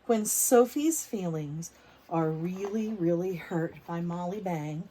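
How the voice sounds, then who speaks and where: A woman reading a picture book aloud to preschoolers, expressive, stretching words for emphasis and putting questions to the children. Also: fairly low pitch, slow, slight background noise. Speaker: read-aloud storyteller for preschoolers.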